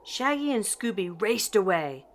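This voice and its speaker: An older woman reading a children's picture book aloud in an expressive, deliberate storytelling manner. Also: mid-range voice, heavy background noise. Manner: slow, deliberate, animated delivery for children. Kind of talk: expressive scripted read-aloud narration.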